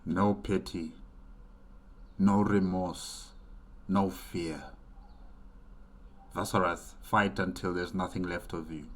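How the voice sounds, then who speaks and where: Male reaction host, low pitch, slow pace, reflective commentary, hesitant pauses. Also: steady tone. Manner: hesitant, pausing, thinking aloud.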